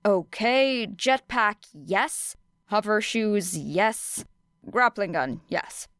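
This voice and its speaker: A woman's voice: medium pitch, lively intonation, drawn-out words, fairly bright tone, dry recording, clean studio sound. A woman voicing a scrappy, determined teenage girl in an audiobook, casual and blunt in manner. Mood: decisive.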